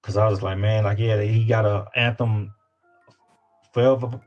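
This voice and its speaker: A man's voice: fairly low pitch, fairly even intonation, moderate pace, highs cut off, soft background music. A man from Louisiana with a Southern US accent, talking casually and slangily as an interview guest, often repeating his phrases. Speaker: independent rapper guest.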